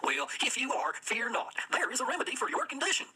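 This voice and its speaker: Cheery, exaggerated parody ad announcer, piercingly bright and rough-voiced, lively radio sales pitch. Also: female voice, quick pace, full character voice. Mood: salesy. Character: slick, cheery radio advertisement pitchman for sleepers.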